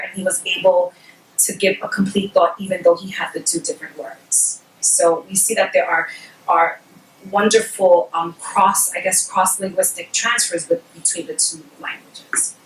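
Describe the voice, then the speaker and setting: A middle-aged woman whose first language is Spanish talks in a measured, explanatory way, leaning on ums and personal examples. Her voice sits fairly low. It comes from a distant mic in an echoey room with faint background hiss. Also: steady tone. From Puerto Rico. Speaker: guest speaker on bilingual education. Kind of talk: explanatory workshop talk.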